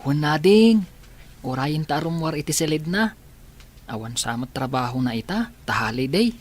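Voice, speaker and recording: A woman playing a gentle, caring young boy, an older brother, in a radio drama with an Ilocano accent. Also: low-pitched, animated, moderate pace.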